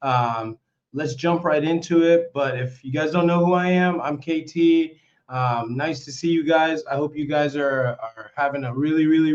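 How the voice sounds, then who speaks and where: A young man hosting a casual live stream, hoarse with a worn-out voice, chatty and excitable as he repeats himself. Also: on the high side. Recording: slightly dull lo-fi stream audio.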